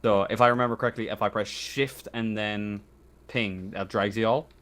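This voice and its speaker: A man's voice: lowish voice, fairly even intonation, a bit bright. A man speaking as game master of a tabletop game, unscripted, hesitant and often correcting himself. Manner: hesitant, halting, self-correcting.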